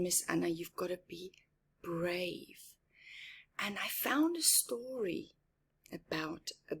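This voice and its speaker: A woman reads to young children at a very unhurried pace. Her voice is husky and breathy, and she stresses words deliberately. She chats to her little listeners with simple explanations between the lines of the picture book. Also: medium pitch. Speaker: storytime reader and teacher. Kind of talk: children's picture-book read-aloud with chatty asides.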